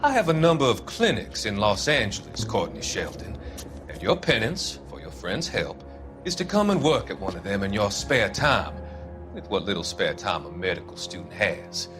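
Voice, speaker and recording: Measured psychiatrist with swooping intonation. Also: male voice, lowish voice, sing-song. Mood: measured, authoritative. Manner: measured, deliberate, formal. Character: smooth, measured psychiatrist, mature man of quiet authority. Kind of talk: dramatic video game cutscene acting. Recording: music behind the voice.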